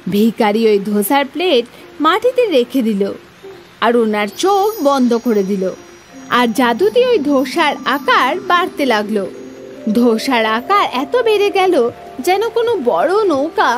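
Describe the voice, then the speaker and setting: A woman's voice: high-pitched, sing-song, a little slow, faint music behind. A woman narrating a scripted children's story as the storyteller.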